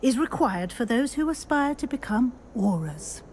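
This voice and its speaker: An actress playing a composed female professor in a video game, guiding a new student in a measured, instructive way. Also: on the high side, sing-song, a crowd in the background. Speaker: game character.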